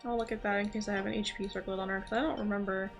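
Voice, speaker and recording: A woman giving casual, rambling commentary on a live gaming stream, thinking aloud in an excitable, giggly way. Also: medium pitch, racing, vocal fry, over music. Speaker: livestreamer playing a role-playing game.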